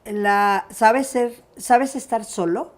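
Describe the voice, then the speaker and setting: A woman's voice: a little high, slow pace. A woman with a Mexican accent hosting a casual interview, asking questions in an informal, familiar way. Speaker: interviewer, host.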